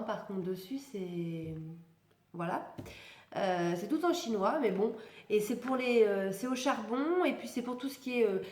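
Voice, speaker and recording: A woman's voice: on the high side, darkish tone, echoey room. A young woman with a stuffy nose from a cold, chatting casually and rambling as she tests beauty products in a vlog. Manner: chatty, rambling, self-correcting, laughs often. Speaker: beauty vlogger.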